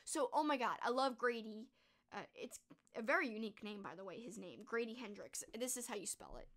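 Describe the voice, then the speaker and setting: A young woman with a high, hoarse voice that is cracking and fading, talking eagerly and casually with plenty of fillers. She is close to a studio microphone in a small bedroom. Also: expressive, brisk, fairly bright tone. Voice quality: strained, croaky. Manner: chatty, enthusiastic, frequent "like" fillers. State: losing her voice, hoarse. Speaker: streamer reviewing books and movies. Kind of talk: casual chat. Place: small bedroom with posters, indoors. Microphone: large studio microphone close in front.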